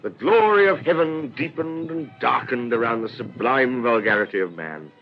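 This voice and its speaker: An actor as an animated male storyteller. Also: mid-range voice, normal speed, natural voice. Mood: descriptive. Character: literary narrator of a detective tale. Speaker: narrator. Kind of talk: scripted narration. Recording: muffled recording with faint noise.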